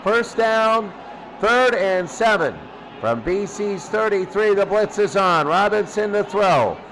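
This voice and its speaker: A male sports announcer calls football play by play in a high-pitched voice at an unhurried pace. He speaks in short, clipped phrases and repeats them for emphasis. Loud background noise rumbles behind him. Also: expressive, poor audio. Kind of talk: American football play-by-play commentary.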